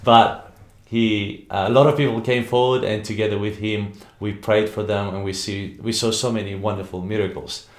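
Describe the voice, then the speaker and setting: A man's voice: fairly low pitch, steady tone, moderate pace, echoey room. A man hosting a faith interview show, telling a personal testimony in an earnest, storytelling way with some hesitations, then asking his guest questions. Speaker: host.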